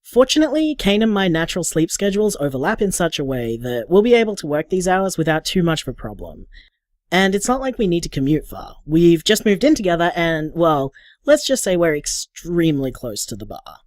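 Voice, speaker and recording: An actor playing a sociable, flashy bar co-owner in a radio drama interview, chatty and upbeat with an Australian accent. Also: male voice, a little high, big pitch swings, a little fast, no room sound, studio quality.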